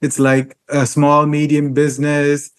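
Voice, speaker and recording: A man hosting an interview, asking long questions with frequent hesitations and filler pauses. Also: on the high side, completely flat delivery, clear, resonant tone. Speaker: interview host.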